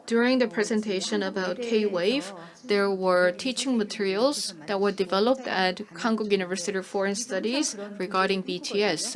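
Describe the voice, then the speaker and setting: A woman works as a live conference interpreter, delivering formal content in measured phrases with small hesitant pauses. Her voice sits at a middling pitch, and audience noise is clearly heard around it.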